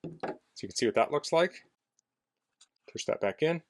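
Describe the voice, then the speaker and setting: A man's voice: fairly high pitch, lively intonation, moderate pace. A man casually demonstrating a product indoors in a basement, explaining things in a plainspoken way as a reviewer.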